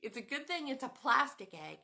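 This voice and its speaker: A woman hosting a children's craft lesson at home, talking straight to young viewers in an animated, sing-song way. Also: sits high, brisk, vocal fry. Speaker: children's show host. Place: home room with a cat nearby.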